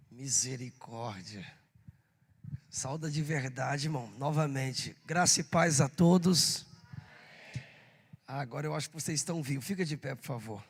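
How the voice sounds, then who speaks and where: A hoarse man, a pastor, leading a church service, urging the congregation and calling out to them. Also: on the high side, in a large hall. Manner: exhorting the congregation, calling out to the crowd. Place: church hall. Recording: live church service with music.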